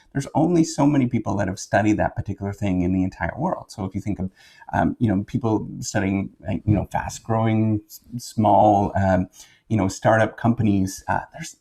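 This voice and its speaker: Low, creaky Canadian man explaining casually. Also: dark tone. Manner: halting, rambling, self-correcting explanations with frequent checking "right?". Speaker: professor and video host.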